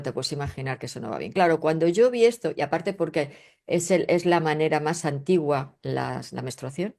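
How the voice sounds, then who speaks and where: A woman with a notably low voice and a Castilian accent from Spain explains things conversationally, as a guest in an interview. She is emphatic and didactic, punctuating her points with short rhetorical questions. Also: a little mellow. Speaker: guest, astrologer and spiritual researcher.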